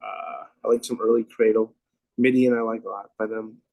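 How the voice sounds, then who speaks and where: A man's voice: on the low side, fairly even intonation, moderate pace, vocal fry, a little mellow, no echo. A man hosting a casual music interview, laid-back, asking questions and laughing easily. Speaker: podcast host.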